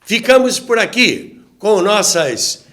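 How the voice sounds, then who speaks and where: A man with a Brazilian accent narrating a scripted documentary to his audience, with a measured, dramatic storyteller delivery and deliberate pauses. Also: high-pitched, very animated, fairly bright tone. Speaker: host and narrator of a radio history channel.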